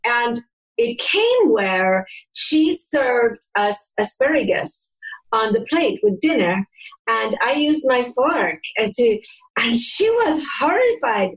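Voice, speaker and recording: High husky older woman, animated storyteller. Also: slow, poor audio. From North America. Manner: animated, emphatic, voice rising when excited. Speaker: interview host. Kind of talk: conversational interview, personal storytelling.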